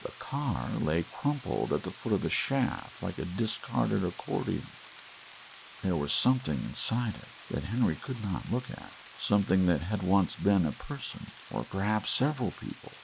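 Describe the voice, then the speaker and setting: A man narrating an audiobook in a slow, soft, hushed ASMR reading over gentle rain. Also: deep voice, very animated, creaky, a little hiss or hum, poor audio.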